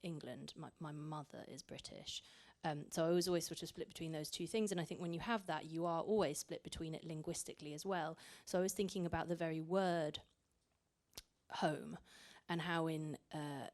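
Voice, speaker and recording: A woman's voice: deep voice, steady tone, moderate pace, a little mellow. A young woman raised in Italy, an author speaking reflectively at a library panel, often repeating words as she thinks. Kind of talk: panel talk. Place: library event room.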